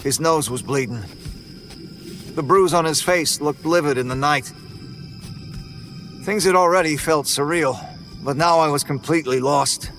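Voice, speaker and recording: A man's voice: fairly high pitch, measured pace, pauses often, a bit bright. Man narrating a horror story over music. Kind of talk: first-person horror narration.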